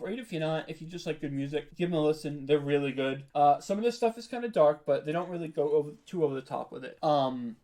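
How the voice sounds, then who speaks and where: American guy on a podcast interview, voice a bit on the high side, chatty n self-deprecating, real informal. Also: a little mellow. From New York, United States. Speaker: podcast creator and voice actor being interviewed. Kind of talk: casual interview chat.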